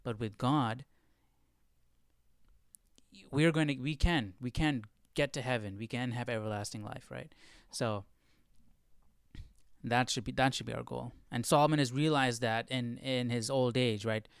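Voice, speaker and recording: A man's voice: mid-range voice, fairly even intonation, a little slow, creaky, pauses often, clean tone, no echo, clean studio sound. A man hosting a livestream study, reading and commenting aloud in a casual, conversational way, often checking in with the listener. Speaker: host of a livestream Bible study.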